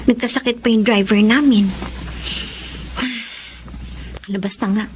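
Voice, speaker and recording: A woman's voice: medium pitch, steady tone, a little fast, a little mellow, a little hiss or hum, natural voice. A woman acting a feisty, well-off young student in a Filipino radio drama, outspoken and indignant, with a Filipino accent. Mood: fretful.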